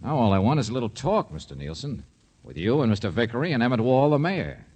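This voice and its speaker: A man playing a brisk, wry, tough private investigator in a 1950s radio drama, talking in a clipped, commanding way. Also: medium pitch, sing-song, darkish tone, husky. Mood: cool, probing. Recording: noisy old 1950s radio recording.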